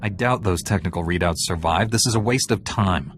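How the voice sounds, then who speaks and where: A man's voice: low voice, fairly quick, slight background noise, close to an everyday voice. A man playing a serious, blunt warrior knight in a space-saga video game, curt and dry. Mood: stern, dismissive. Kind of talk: video game dialogue acting.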